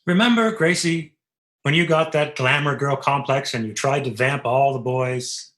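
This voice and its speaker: A man's voice: mid-range voice, normal speed, fairly bright tone, close to an everyday voice. A man playing a breezy, smugly boastful former athlete and old boyfriend in a 1940s-style radio comedy. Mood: fond, teasing.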